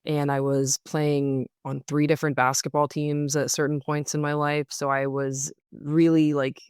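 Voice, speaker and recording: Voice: mid-range voice, level tone, normal speed, clean tone, no echo. An American guest with an androgynous voice shares personal stories in a casual, reflective way. The voice is clean and resonant, with a self-deprecating, conversational touch, captured very dry with no room sound. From Belgium and the United States. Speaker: interview guest, singer-songwriter. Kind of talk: reflective interview storytelling, casual.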